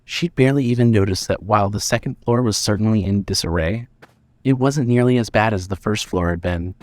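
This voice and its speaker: Measured male story narrator, dry sound. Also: lowish voice, a little mellow, dry recording. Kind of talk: scripted dramatic story narration.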